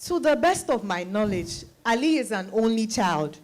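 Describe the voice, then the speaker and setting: Slightly high voice, animated intonation, defensive younger woman acted, earnest, insistent, stage-style drama. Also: normal speed, slight background noise. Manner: insistent, reasoning, emphatic repetition.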